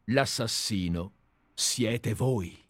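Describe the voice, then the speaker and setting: A man's voice: on the low side, very slow, slightly rough, no room sound. A man reading aloud as an obsessive, deferential admirer who has tracked a writer for years, in a dramatized radio play reading. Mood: accusing. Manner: earnest, deferential, methodical. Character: obsessive, fawning male admirer-sleuth. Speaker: reader.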